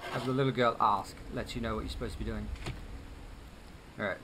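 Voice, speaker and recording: A man's voice: on the low side, steady tone, a little fast, background noise. A man hosting a let's play, giving informal commentary and thinking aloud as he reacts to the game. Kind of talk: casual gaming commentary.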